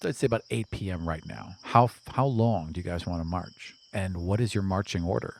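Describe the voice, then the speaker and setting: A man's voice: deep voice, fairly quick, gravelly, slight background noise. A man acting as game master, narrating a tabletop fantasy game in a conversational, descriptive way and speaking directly to his players.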